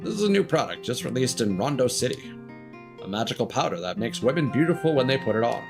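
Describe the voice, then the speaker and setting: A man's voice: mid-range voice, big pitch swings, fast talker, vocal fry. A man voicing an eager trading shopkeeper from a video game, hawking remedies and wares. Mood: salesy. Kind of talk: reading video game dialogue aloud. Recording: music behind the voice.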